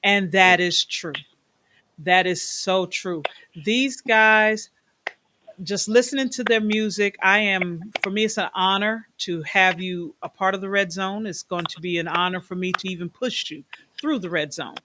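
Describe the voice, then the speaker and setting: A woman's voice: mid-range voice, measured pace, noisy background. A middle-aged woman hosting an interview in a chatty, enthusiastic way, stretching words for emphasis. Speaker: host. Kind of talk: casual interview chat.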